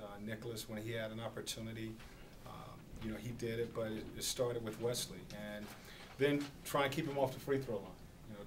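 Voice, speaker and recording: A middle-aged man, a basketball head coach, answering questions at a postgame press conference in a measured, halting way with many fillers. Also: mid-range voice. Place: small press room at a podium. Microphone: podium microphone on a stand. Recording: distant camera audio, noisy room.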